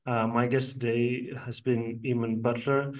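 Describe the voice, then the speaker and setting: A man's voice: mid-range voice, little pitch movement, measured pace, distant mic. A man with a Lithuanian accent hosting a podcast, speaking polite, slightly unsure English with restarts. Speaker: podcast host. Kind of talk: casual podcast sign-off chat.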